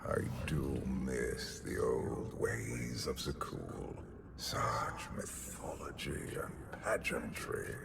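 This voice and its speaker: An ancient emperor speaks with grave command in a gravelly voice. His delivery is extremely slow and very deep. Game ambience hisses behind him. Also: animated, full character voice. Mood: solemn. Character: ancient imperious emperor, father, spirit. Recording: game audio with ambient hiss.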